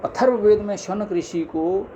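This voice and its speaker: A man's voice: high-pitched, a little slow, warm tone, a little hiss or hum. A man formally reading scholarly religious text aloud, now and then stumbling, in an amateur recording. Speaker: reader of a scripture audiobook.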